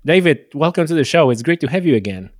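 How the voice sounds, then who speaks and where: A man's voice: a little high, animated, quick pace, no room sound, faint music behind. A man hosting a podcast interview, talking casually and rambling with many fillers as he thinks aloud. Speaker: podcast host.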